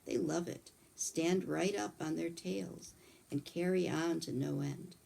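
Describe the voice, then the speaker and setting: A woman voicing a kindly old male country doctor, chatting calmly in a read-aloud storybook. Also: deep voice, takes it slowly, creaky, heavily acted, rough recording. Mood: easygoing.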